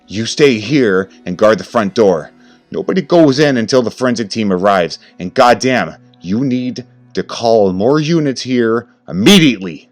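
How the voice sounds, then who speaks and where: A man's voice: fairly low pitch, expressive, normal speed, soft background music, pitched above the performer's own voice, close to an everyday voice. Man playing a commanding, urgent older police detective. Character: gruff aged male police detective, commanding, chain-smoking.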